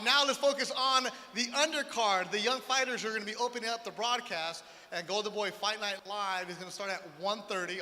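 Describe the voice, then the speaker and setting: A man hosting a boxing press conference, introducing fighters with energetic, hype announcing. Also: sits high. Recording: reverberant hall with faint background noise.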